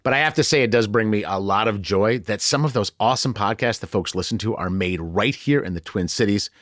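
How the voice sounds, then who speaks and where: Low-voiced American male podcast host, dry sound. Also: brisk, a bit bright, dry recording. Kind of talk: conversational podcast hosting.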